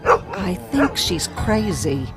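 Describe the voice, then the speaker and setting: A woman acting a gentle, puzzled wife in a radio drama. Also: medium pitch, very animated, slow, loud music behind the voice. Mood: puzzled, concerned.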